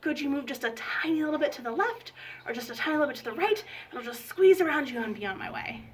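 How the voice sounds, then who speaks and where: Woman playing a high-voiced, sugary-polite storybook hunter. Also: animated, quick pace, a little hiss or hum. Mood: pleading, polite. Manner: coaxing, sugary, over-polite. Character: polite, brave but dim-witted male hunter in children's tale.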